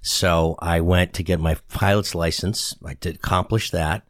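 A low-pitched man in his sixties from the Boston area talks as an interview guest, rambling and self-deprecating, with many ums and false starts. His voice sits close and dry on the mic, with no room around it. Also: fairly even intonation, a little slow, no echo. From Boston area, USA. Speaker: guest, brand specialist and author. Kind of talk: casual conversational interview. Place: studio in San Antonio.

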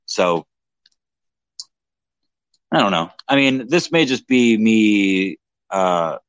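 A man's voice: fairly low pitch, lively intonation, extremely slow, clean tone, dry recording, dull audio. A young man in casual livestream chat, slightly drunk, rambling, swearing and mocking himself. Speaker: livestream co-host.

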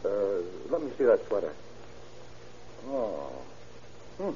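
An actor playing a careful police lab chemist who explains deliberately with hesitant murmurs in an old-time radio drama. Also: male voice, fairly low pitch, big pitch swings, warm tone. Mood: careful, explanatory. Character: careful, unhurried male police laboratory chemist. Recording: dull, noisy vintage radio recording.

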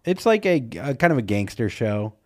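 Picture a young man in a relaxed co-hosted chat, spinning a loose story about a night out. He teases his partner and drops swear words without a second thought. His voice sits at an everyday middle pitch. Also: normal speed. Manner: loose, teasing storyteller who swears freely. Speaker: podcast co-host. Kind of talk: casual chat.

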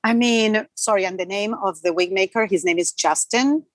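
A woman's voice: medium pitch, moderate pace, no room sound. A woman from Argentina, a native Spanish speaker, answering conversationally as a film makeup artist in an interview.

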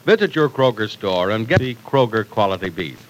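A man's voice: sing-song, fairly quick, a little hiss or hum, rough recording. A man announcing and selling for a 1940s radio drama, upbeat and persuasive. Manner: upbeat, persuasive sales pitch.

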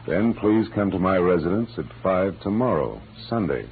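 A man's voice: low-pitched, big pitch swings, moderate pace, a little hiss or hum. An American man playing a wry, world-weary private detective in a classic radio noir drama, with clipped delivery. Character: wry, hardboiled male private detective narrating his case. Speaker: lead actor. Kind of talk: 1940s-50s American radio noir acting. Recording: muffled old radio recording with music.

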